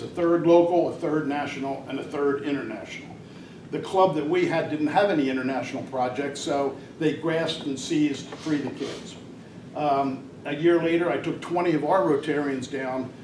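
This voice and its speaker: An older man giving a rambling, hesitant talk at a club meeting, with many fillers. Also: a little high. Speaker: guest speaker at a Rotary club. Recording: distant mic in an echoey room, noisy.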